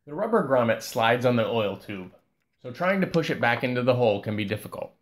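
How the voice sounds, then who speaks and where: A man giving a calm, scripted voice-over that walks through a repair step by step. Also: medium pitch, brisk. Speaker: repair channel host.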